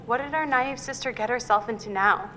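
A woman's voice: on the high side, a little fast, a little hiss or hum. An actress playing an edgy young woman in a cinematic horror video game. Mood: annoyed. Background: loud game soundtrack.

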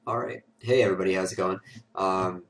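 A man with a cold talks through a software demo in a low, flat, raspy voice, hoarse and sniffly. His delivery is casual and loose, padded with "uh" and "um". He sits a short way from the mic. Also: brisk, recorded from a distance. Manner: casual, filler-heavy walkthrough with many "uh" and "um". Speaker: host of a security tutorial show. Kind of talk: screen-recorded software tutorial.

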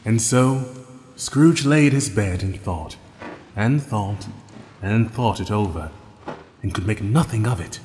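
A man narrating an audio play as a measured storyteller. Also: on the low side, a little mellow. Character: measured male storyteller of a Victorian tale. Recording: reverberant, faint background noise.

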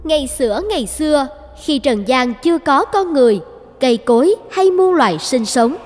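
Very high-voiced woman narrator, long reverb. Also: expressive, normal speed. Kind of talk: scripted myth narration.

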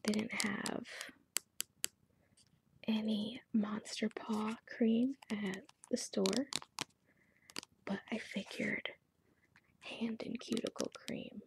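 A woman speaking in a gentle, breathy, whispery voice, slowly chatting to a client in an ASMR salon roleplay. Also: mid-range voice, flat delivery, background noise. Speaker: ASMR creator playing a pedicure salon worker. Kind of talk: soft-spoken ASMR roleplay.

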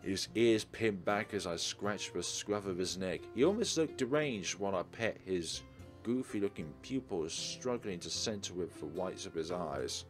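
A man's voice: low-pitched, animated, normal speed. A man hosting a let's play, reading a visual novel aloud expressively and adding casual commentary. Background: soft game music.

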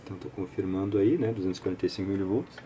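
A man with a Brazilian accent giving an informal hands-on technical tutorial, speaking haltingly with frequent short check-in tags. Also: low-pitched, dark tone, a little hiss or hum. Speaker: electronics repair channel host. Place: indoor home electronics workbench.

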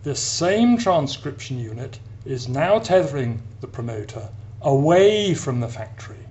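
A man giving an explanatory science narration as an academic lecturer, in a measured, deliberate manner with pauses between phrases. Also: mid-range voice, sing-song, a little mellow. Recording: clear background hiss and hum.

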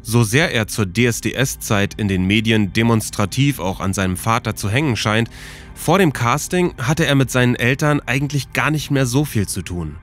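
A man's voice: mid-range voice, expressive, normal speed, fairly bright tone, faint music behind. A man reading scripted narration as the host of a documentary podcast.

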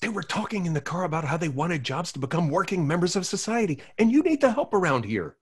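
A man reads a hapless romantic lead aloud, sheepish and self-pitying in his comic timing. His voice is husky and his pitch leaps all over the place. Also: sing-song, quick pace. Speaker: actor reading the lead. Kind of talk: table read acting.

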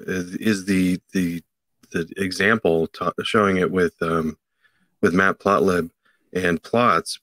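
A middle-aged man co-hosting a podcast, explaining a tech item in a halting way with many fillers, from a home office on a video call. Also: low voice, sharp tone, dry recording. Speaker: podcast co-host. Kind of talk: casual tech chat.

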